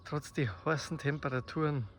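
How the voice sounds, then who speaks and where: A man with a Bavarian accent improvises spoken poetry outdoors in a slow, reflective, halting way, in short phrases with long pauses. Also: a little high. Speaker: amateur poet. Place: outdoors in the countryside. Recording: faint outdoor nature noise. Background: birdsong and rural ambience.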